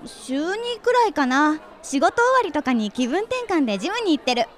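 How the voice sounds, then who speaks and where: A woman's voice: high-pitched, sing-song, moderate pace. An actress playing a friendly, forward, sporty woman in her twenties in a radio drama, chatting casually and directly. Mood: chatty, candid. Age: 20s.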